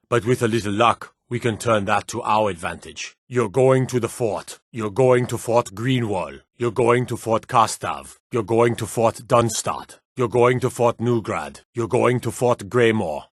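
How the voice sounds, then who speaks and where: An actor playing a stern, dutiful fantasy guard and soldier in a video game, formal and commanding, with measured delivery. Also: male voice, lowish voice, husky, dry recording, clean studio sound. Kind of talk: scripted fantasy game dialogue.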